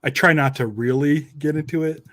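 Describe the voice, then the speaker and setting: A man's voice: fairly high pitch, steady tone, a little fast, fairly bright tone. A man co-hosting a podcast, chatting casually with an informal, dryly joking manner. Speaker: podcast co-host. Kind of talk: casual chat.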